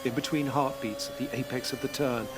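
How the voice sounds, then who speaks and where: A man's voice: medium pitch, steady tone, a little fast, background noise. A man giving scripted dramatic narration in an intense, rhythmic way. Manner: intense, rhythmic, second-person at times.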